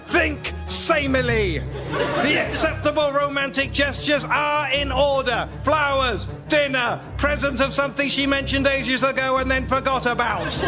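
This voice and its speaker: Brash, declamatory radio-comedy announcer, very high-pitched, lecturing with total certainty over loud music. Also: male voice. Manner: emphatic, instructive, declamatory.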